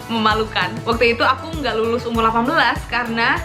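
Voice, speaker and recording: A woman's voice: a little high, brisk. Chatty young woman vlogger over music. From Indonesia. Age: young adult. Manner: chatty, mixes English into her speech, laughs often. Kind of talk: casual Q&A vlog.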